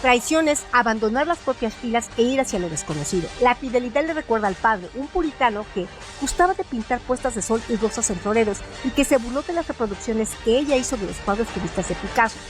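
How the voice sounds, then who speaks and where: A woman hosting a radio show, reading a scripted literary analysis in a measured, formal way. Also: fairly high pitch, animated. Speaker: radio host. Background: music bed.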